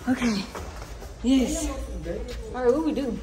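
A woman's voice: high voice, a little slow. Young woman vlogger, noisy phone audio. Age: young adult. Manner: informal, slangy. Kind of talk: casual vlog chat and house tour. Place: walking through apartment, hallways and parking garage. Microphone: phone at arm's length. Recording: noisy handheld phone recording.

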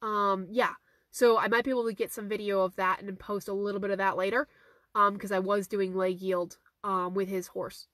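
A woman in her thirties, a horse trainer with a hoarse, fading voice, answering viewer questions in a casual live stream from her car, phone held at arm's length, repeating her key points earnestly. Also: mid-range voice, level tone, normal speed, fairly bright tone, no echo, clean studio sound. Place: inside a parked car. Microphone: phone camera at arm's length.

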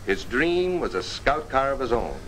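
A man reading scripted 1950s training film narration in a formal, earnest, measured storytelling manner. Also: medium pitch, sing-song, a bit bright. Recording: noisy 1950s film soundtrack.

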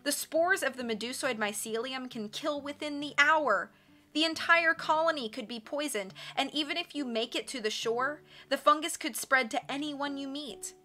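A woman's voice: sits high, moderate pace, bright, forceful tone, voice pushed up. A woman voicing an earnest, principled teenage girl in a storybook, speaking firmly and urgently. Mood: firm warning. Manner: urgent, firm.

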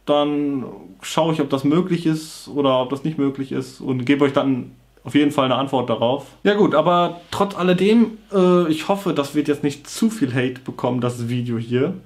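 A man's voice: on the high side, moderate pace. Young man with a cold, vlogging casually. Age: young adult. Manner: informal, slangy, offhand. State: has a cold and sore throat. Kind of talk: casual talk to camera. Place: small bedroom with computer desk, indoors.

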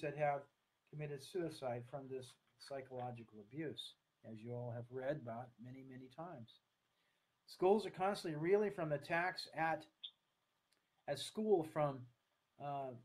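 A man in his sixties with an American accent, giving an earnest online talk to camera in a halting, deliberate way. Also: a little high, a little mellow, low-quality recording. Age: 60s. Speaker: speaker, coach. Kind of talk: online talk to camera, partly read from notes. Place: small room at home, indoors. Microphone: webcam or laptop at desk distance.

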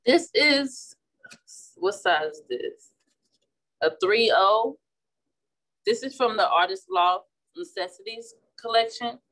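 A woman's voice: mid-range voice, slow pace, long pauses, rough recording. Chatty Midwestern woman teaching a craft. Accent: Midwestern American. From St. Louis, Missouri. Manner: chatty, relaxed, restarts often while working. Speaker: florist and class instructor. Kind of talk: casual demonstration while painting. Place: indoor flower shop worktable.

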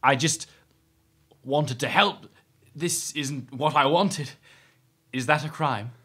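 An actor playing a desperate, self-justifying schemer in a fantasy audio drama, indignant and pleading. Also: male voice, fairly high pitch, slow, fairly bright tone, husky, heavily acted.

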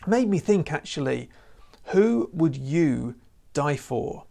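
A man's voice: a little high, big pitch swings, slow, creaky, dark tone. A man preaching a recorded sermon to camera in an earnest, conversational way, often saying "you know.". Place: indoor room with lamp and church banner. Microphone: lapel mic.

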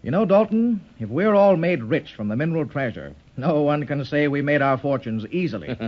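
A worried gentleman, dull old recording. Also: a little high, lively intonation, a little fast. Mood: worried, grave. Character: worried gentleman treasure seeker. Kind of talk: 1930s radio serial acting. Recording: muffled vintage 1930s radio recording.

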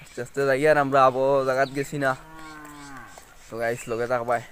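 A man's voice: medium pitch, flat delivery, quick pace, fairly bright tone, a little hiss or hum. A young man with a Sylheti accent chatting casually to viewers as he vlogs outdoors on a phone, often repeating his phrases. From Sylheti-speaking Karimganj area, India. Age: young adult. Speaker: vlogger. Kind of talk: casual chat to camera. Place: outdoors on village roads. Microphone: phone at arm's length. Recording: music under an outdoor phone recording.

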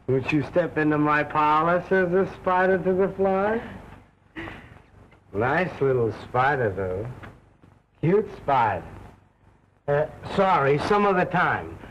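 A man's voice: on the high side, sing-song, slow pace, long pauses, highs cut off, a little hiss or hum. A man playing a tipsy young man-about-town, rambling in genteel drunken chatter in 1930s film style. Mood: tipsy gallant.

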